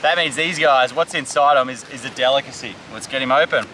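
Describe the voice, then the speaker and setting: Young male survival vlogger from Samoa, upbeat explainer, bright tone, outdoor beach with faint surf. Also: medium pitch, brisk, slight background noise, poor audio. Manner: upbeat, enthusiastic tour-guide talk. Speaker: survival vlog host. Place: outdoors on a tropical island beach.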